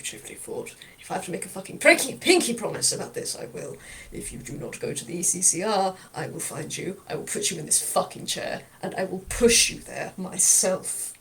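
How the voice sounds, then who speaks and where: Woman doing a cursing gruff old man. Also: on the high side, mellow, a little hiss or hum, poor audio. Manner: cursing, blustering threats. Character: gruff older man in a wheelchair, swearing, fiercely protective.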